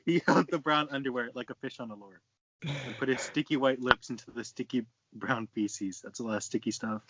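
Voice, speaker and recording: A man's voice: mid-range voice, brisk, heavy background noise. A young man talking and reading casually among friends. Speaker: member of amateur reading group. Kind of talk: casual chat and read-aloud among friends. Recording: dry, muffled lo-fi voice chat.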